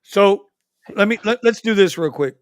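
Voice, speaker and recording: Animated man hosting a livestream. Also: high-pitched, moderate pace, no echo, clean studio sound. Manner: animated, reads viewer comments aloud. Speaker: livestream host. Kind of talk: casual livestream chat.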